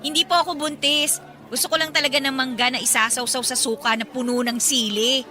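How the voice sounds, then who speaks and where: A woman's voice: sits high, fairly even intonation, a little fast, bright, forceful tone. A woman playing a blunt, sarcastic young single woman in a Filipino radio drama. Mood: defensive. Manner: sassy, exasperated. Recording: music behind the voice.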